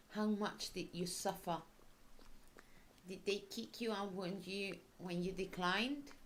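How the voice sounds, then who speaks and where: Woman playing a slow, possessive, cruel mother. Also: on the low side, slight background noise, lightly acted. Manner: cloying, manipulative, scolding. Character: possessive, cruel, smothering mother in a visual novel.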